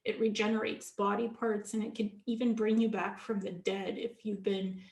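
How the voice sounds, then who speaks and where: A woman's voice: medium pitch, flat delivery, moderate pace, vocal fry, darkish tone, echoey room. A woman from Ukraine, a guest in an interview, explaining at length in an earnest way, often restarting her sentences. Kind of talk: casual interview talk.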